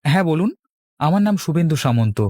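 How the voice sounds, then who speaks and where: A man's voice: a little high, lively intonation, quick pace, warm tone, dry recording, studio quality. A man voicing an earnest young husband in a village cartoon. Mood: polite.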